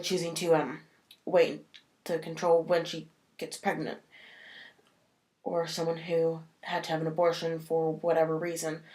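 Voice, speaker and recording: A woman's voice: low-pitched, darkish tone. A woman talking casually to camera in a vlog, sniffly from a cold and rambling with many fillers. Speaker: vlogger.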